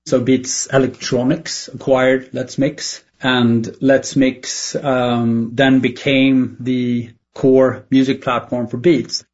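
A man's voice: mid-range voice, little pitch movement, slow, few pauses, a little mellow, highs cut off. A middle-aged man from Sweden, a native Swedish speaker with a Swedish accent, answering interview questions in a candid, reflective, conversational way. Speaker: tech entrepreneur interviewed as a guest.

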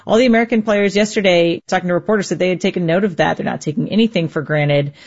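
A woman's voice: lowish voice, fast, darkish tone, highs cut off. A woman sports correspondent explaining a match in a conversational way during a radio news interview.

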